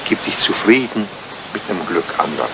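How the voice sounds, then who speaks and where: An older man acting a devoted, dignified butler in a radio play, speaking with formal deference and quiet sorrow. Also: sits low, sing-song, moderate pace, vocal fry, heavily acted. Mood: resigned. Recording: noisy old radio play recording.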